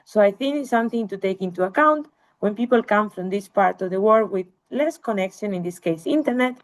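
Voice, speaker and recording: A woman's voice: medium pitch, lively intonation, brisk. A woman researcher giving an academic webinar lecture, explaining slides to listeners.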